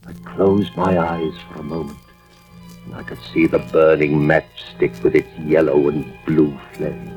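An actor playing a weary, anxious husband who narrates a suspense tale in measured, dramatic 1940s-50s radio style. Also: male voice, very deep voice, level tone, darkish tone. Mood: tense, brooding. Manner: measured, dramatic first-person storytelling. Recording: muffled vintage radio recording with music.

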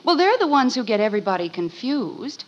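A woman's voice: fairly high pitch, big pitch swings, a little fast. A woman playing a scatterbrained but stubborn American wife and mother in a 1950s radio sitcom. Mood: stubborn, puzzled. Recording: muffled old radio recording.

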